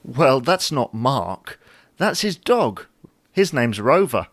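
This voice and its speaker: Man acting wry, animated bickering husband. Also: mid-range voice, slow pace, no room sound, full character voice. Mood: explaining, patient. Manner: matter-of-fact, teasing, wry. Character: wry, patient, mildly bickering husband glued to social media. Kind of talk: domestic comedy audio drama acting.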